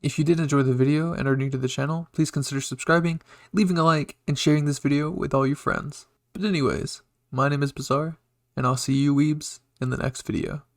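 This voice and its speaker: A man's voice: a little high, fairly even intonation, brisk, no room sound, clean studio sound. A man narrating a fan fiction story and hosting his reading channel. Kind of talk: narrating a listener roleplay story.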